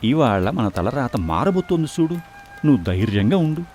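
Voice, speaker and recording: A man plays a humble village food seller in a moral tale. He speaks in an earnest, reassuring way with lively swings of pitch in a mid-range voice, over faint music. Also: moderate pace, close to an everyday voice. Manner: reassuring, earnest, proverb-laden. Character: poor, confident, philosophical food stall husband. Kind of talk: dramatized moral story voice acting.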